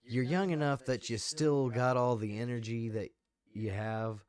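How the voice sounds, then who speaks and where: A man's voice: medium pitch, steady tone, unhurried, darkish tone, clean tone, dry recording. A man in his thirties joking about himself in a casual podcast chat. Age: 30s. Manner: joking, self-mocking. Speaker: podcast co-host.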